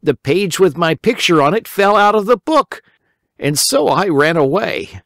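A simple, round-faced young boy with a high, pleading, whiny voice that swings up and down, as a man puts him on. He frets easily and sounds hungry and helpless. The recording is dry and close. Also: lively intonation, a little slow, fairly bright tone, no room sound, exaggerated voice.

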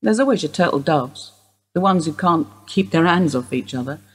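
A husky-voiced older widow, played by a woman, speaks in a radio drama. She is dry, blunt and unsentimental under police questioning. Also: sits low, expressive, moderate pace, rough recording. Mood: wry. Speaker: actor in a radio play.

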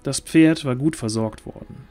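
Male storyteller over soft music. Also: mid-range voice, moderate pace. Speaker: narrator. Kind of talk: scripted storytelling narration.

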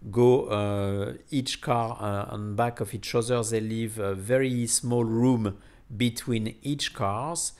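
A man with a lowish voice narrates a casual travel vlog. He speaks very slowly and haltingly, feeling his way through each sentence as he explains things to viewers. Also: steady tone, darkish tone. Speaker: vlogger.

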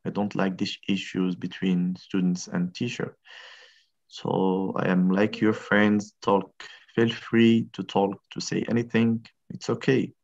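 Male lecturer, very low voice, dull video-call sound; friendly, encouraging, repeats his questions. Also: flat delivery, measured pace, gravelly, darkish tone. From Morocco. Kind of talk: online class introductions. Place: indoor room, tiled wall.

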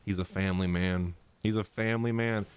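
A man's voice: deep voice, fairly even intonation, fairly quick, a little hiss or hum. A man in his thirties giving casual, slangy, emphatic reaction commentary as a game streamer, close on a desk microphone. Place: small room with streaming setup. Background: faint game music.

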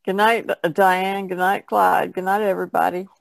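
A woman co-hosts a podcast in casual conversation. Her voice has a strong creak, and the sound is dry with no room to it. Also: fairly low pitch, flat delivery, moderate pace, dry recording. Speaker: podcast co-host.

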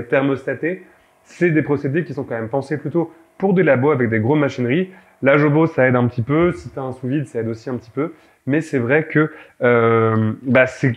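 A man's voice: mid-range voice, muffled recording. A young man with a head cold, casually explaining his home film developing with many fillers and restarts. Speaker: film photography youtuber. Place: home darkroom corner, then a dim studio room. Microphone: camera mic, then a studio mic close up.

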